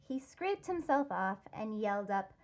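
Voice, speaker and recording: A woman teacher reading a rhyming children's story aloud, expressively and with deliberate, careful wording. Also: mid-range voice, very animated, a little mellow.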